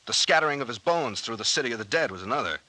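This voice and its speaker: A man's voice: medium pitch, expressive, rapid-fire, sharp tone, low-quality recording. A man acting in an old-time radio drama as a confident, genial sea captain sleuth with an American accent, explaining things in a self-assured way.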